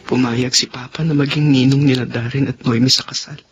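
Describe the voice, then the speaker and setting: An actor playing an anguished son afraid to confess a secret, in a pained, brooding radio drama monologue. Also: male voice, mid-range voice, fairly even intonation, a little fast, faint music behind, lightly acted. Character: anguished son hiding his true identity from father.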